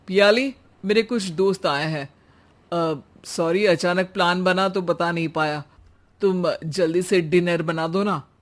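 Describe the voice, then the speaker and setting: Cartoon young husband, played by a man: harried, placating, weary, slow delivery. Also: sits high. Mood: apologetic. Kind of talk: Hindi cartoon voice acting.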